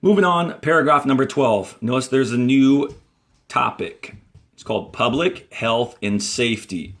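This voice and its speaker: A man with a medium-pitched voice reads aloud as a grade-school teacher. His delivery is deliberate and instructional, clearly signposting where he is in the text. He slips in short explanations for young learners. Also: fairly bright tone. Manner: deliberate, instructional, signposts each page and paragraph. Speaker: fourth-grade teacher reading a textbook aloud. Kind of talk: read-aloud lesson with brief explanatory asides.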